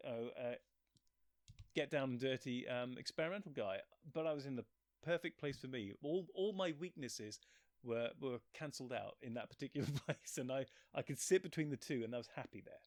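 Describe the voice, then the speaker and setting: A man's voice: mid-range voice, fairly quick, dry recording, clean studio sound. A man chatting casually as an interview guest, rambling and self-deprecating, often breaking off mid-thought. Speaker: physicist and author. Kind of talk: casual chat.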